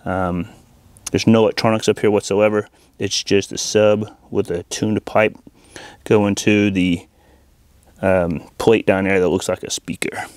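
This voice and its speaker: A man's voice: on the low side, little pitch movement, a little slow, darkish tone, clear, resonant tone, no room sound, clean studio sound. An American man hosting a hands-on installation demo in an informal, folksy, chatty way. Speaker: audio-video installer and channel host. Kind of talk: casual hands-on install demonstration.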